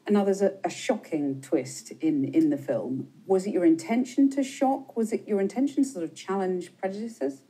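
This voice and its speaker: A woman presenting the news and interviewing a guest with crisp, probing questions in a TV studio. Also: medium pitch, lively intonation, moderate pace, hardly any pauses, warm tone, poor audio.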